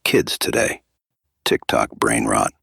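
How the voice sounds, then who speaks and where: A man acts out a gruff trucker for an audio drama, with an extremely low voice full of gravel. He is husky, dry and sardonic, never in a hurry to get his words out. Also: very animated, slow, fairly bright tone, no room sound, full character voice. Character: gruff, laid-back male truck driver, dryly sardonic.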